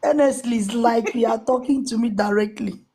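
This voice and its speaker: A woman's voice: a little high, moderate pace, a crowd in the background. A woman, a caller talking earnestly and repeating her point. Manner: earnest, emphatic, repeats herself. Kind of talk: call-in chat.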